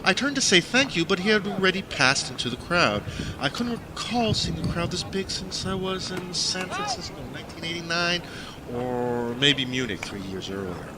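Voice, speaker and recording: Man narrating surreal stories with lively, swinging pitch. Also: moderate pace, background noise. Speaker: author narrating own audiobook. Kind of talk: scripted first-person literary narration.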